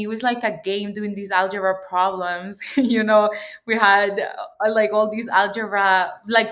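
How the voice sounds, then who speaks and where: A chatty young woman from El Salvador tells her story as an interview guest. She talks in loose, filler-heavy sentences and laughs easily. Her voice sits at an ordinary middle pitch for a woman. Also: fairly bright tone. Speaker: guest, PhD student. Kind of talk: casual interview chat.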